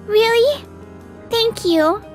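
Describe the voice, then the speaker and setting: A woman's voice: very high voice, expressive, extremely slow, soft background music, exaggerated voice. A woman voicing an earnest, easily flustered young businesswoman in a romantic comedy manga dub. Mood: flustered, shy. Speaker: voice actor.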